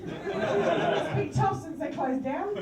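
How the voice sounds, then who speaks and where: A woman's voice: very high-pitched, slow pace, very noisy. A woman playing a cheerful female tooth fairy for animals, improvising in a rambling way full of fillers at a live comedy show. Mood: playful. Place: live venue with audience. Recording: echoey room, far mic, loud crowd.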